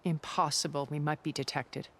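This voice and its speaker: An actress playing a cool, haughty, middle-aged schemer in a video game, speaking in a measured, formal and wry manner. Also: female voice, deep voice, fairly even intonation. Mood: clinical. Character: cool, calculating woman schemer, haughty and persuasive. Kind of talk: dramatic video game cutscene acting.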